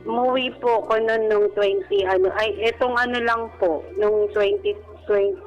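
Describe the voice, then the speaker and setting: A Filipino woman calling in to a radio advice show, telling her personal story in a halting, polite way. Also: mid-range voice, fairly even intonation, lo-fi sound. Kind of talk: personal account in conversation. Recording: radio broadcast with music underneath. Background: background music.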